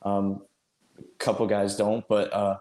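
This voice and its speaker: A man's voice: lowish voice, completely flat delivery, unhurried, warm tone. A man giving casual sports analysis as a panelist in meandering, hesitant explanations, with a roomy echo. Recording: roomy, echoing sound.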